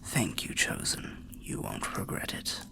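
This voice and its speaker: A man voicing a weary, kindly old undead warrior who rambles gently in a breathy, gravelly half-whisper, like a fantasy ASMR storyteller. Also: natural voice. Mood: welcoming, reflective. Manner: slow, rambling, archaic, gently cautionary storyteller. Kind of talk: ASMR fantasy roleplay monologue.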